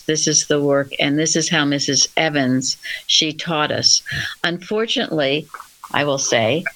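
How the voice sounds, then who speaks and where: A woman's voice: low voice, a bit bright, rough recording. A woman leading a religious group discussion, speaking in an instructive, emphatic manner. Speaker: discussion leader.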